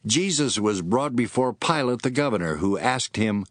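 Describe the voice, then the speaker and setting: A man's voice: medium pitch, animated, moderate pace, no echo, clean studio sound. A man narrating scripture as a scripted dramatic reading.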